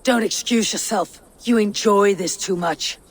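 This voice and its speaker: An actress playing a stern, battle-hardened female Viking raider in a video game, with a low, gruff voice and terse delivery. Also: unhurried. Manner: terse, commanding. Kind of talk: dramatic video game acting.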